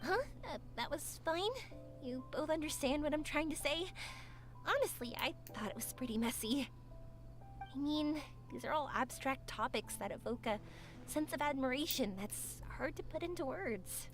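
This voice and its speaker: An earnest young woman with a high, clear voice, as an actress plays her, thinking aloud in a cheerful, warm-hearted way. Game music plays softly underneath. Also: normal speed, lightly acted. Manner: earnest, reflective.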